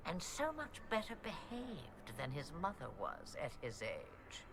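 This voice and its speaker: A woman playing an ancient, cryptic witch mother in a video game, speaking in a deliberate, theatrical and ominous way. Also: low voice, wildly swooping pitch, background noise, exaggerated voice. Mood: taunting, threatening. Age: older. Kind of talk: dramatic video game acting.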